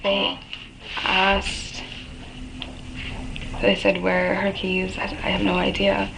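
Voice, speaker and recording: A woman giving a halting, subdued account in a recorded interview. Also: lowish voice, completely flat delivery. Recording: noisy room recording, clear background noise. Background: noise.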